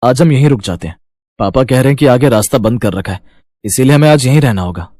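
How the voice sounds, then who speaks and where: A man plays a kind city traveller in a cartoon tale. He sounds earnest and reassuring at a middle pitch, in a dry recording. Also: animated, quick pace, dark tone, no room sound, close to an everyday voice.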